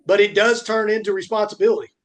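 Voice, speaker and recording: A man's voice: high-pitched, fairly even intonation, fast. A man in a casual industry discussion as podcast co-host, talking in a rambling way and repeating points for emphasis.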